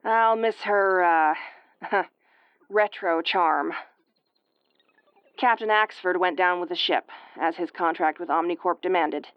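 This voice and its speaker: A woman's voice: fairly low pitch, steady tone, slow pace, pauses often, clean tone, no room sound, lo-fi sound. A woman playing an injured, sarcastic starship first officer in an audio roleplay, with a wry, exasperated tomboyish delivery. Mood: weary, wry. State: woozy and battered. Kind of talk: audio roleplay acting, recorded log monologue.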